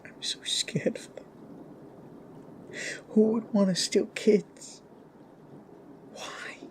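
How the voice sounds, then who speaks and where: A man's voice: high voice, fairly even intonation, extremely slow, in a whisper. A man playing an anxious, grieving raccoon father in a fantasy roleplay, speaking in a hesitant, emotional way. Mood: distraught. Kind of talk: dramatic roleplay audio acting. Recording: faint storm noise behind. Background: thunder and storm ambience.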